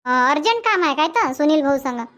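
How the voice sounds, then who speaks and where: A woman voicing a dutiful, gently nagging young village wife in a cartoon comedy, with a colloquial rural Varhadi Marathi accent. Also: high voice, lively intonation, fast. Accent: rural Varhadi Marathi from Vidarbha. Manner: colloquial, persistent, questioning.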